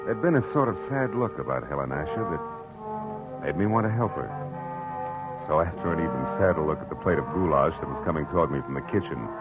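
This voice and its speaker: An actor playing a wry, tough private detective in a 1940s radio drama, terse and clipped. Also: male voice, very deep voice, animated, quick pace. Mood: wry narration. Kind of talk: 1940s radio acting, first-person noir narration. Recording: dull old radio recording, music behind.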